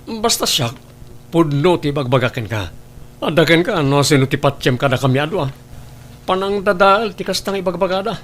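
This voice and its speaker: A man's voice: on the high side, expressive, normal speed, fairly bright tone. A man with an Ilocano Filipino accent acting an evasive, defensive husband in an audio drama. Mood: defensive, irritated. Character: evasive husband hiding an affair, defensive with his wife.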